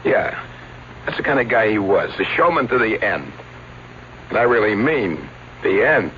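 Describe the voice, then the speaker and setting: An actor playing a wry, regretful publicity agent confessing to a detective in an old-time radio drama. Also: male voice, on the low side, sing-song, normal speed. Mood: wry, confessional. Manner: colloquial, dramatic. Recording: muffled vintage radio recording, faint hiss.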